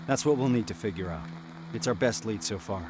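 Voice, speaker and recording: A man's voice: fairly low pitch, expressive, fairly quick, background noise, natural voice. A man voicing a terse, authoritative resistance sergeant in a video game. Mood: grave, controlled. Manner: authoritative, clipped. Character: commanding male resistance sergeant, steady and terse.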